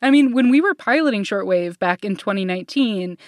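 A woman's voice: mid-range voice, lively intonation, a little fast, no echo. A woman podcast host chatting casually, effusive and slangy. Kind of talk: casual, informal chat.

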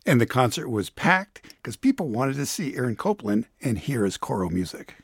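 A man with a mid-pitched, husky voice and a very animated melody presents a radio feature. He explains things emphatically and conversationally, as a reporter, in a dry, close studio sound. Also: normal speed, no room sound.

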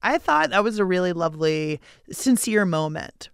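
A woman's voice: lowish voice, very animated, normal speed, creaky, smooth, no echo, studio quality. A woman hosting a conversational podcast interview in a casual, reflective way. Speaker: interviewer and show host.

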